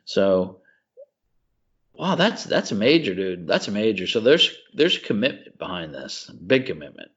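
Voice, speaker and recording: Excitable low-voiced man on a podcast. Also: measured pace, husky, muffled recording. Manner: excitable, gushing, says "dude" often. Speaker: podcast co-host. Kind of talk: casual chat.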